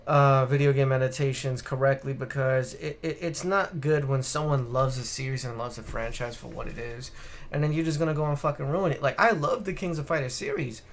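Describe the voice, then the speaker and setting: A man's voice: mid-range voice, fairly even intonation, brisk, slight background noise. A man co-hosting a casual video game podcast on a live stream, ranting bluntly with plenty of swearing. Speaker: podcast co-host.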